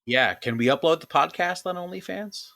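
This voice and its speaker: A man's voice: on the high side, lively intonation, normal speed, gravelly, sharp tone. A man co-hosting a podcast, laid-back, with short reactions and dry jokes. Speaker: podcast co-host. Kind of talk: casual podcast chat.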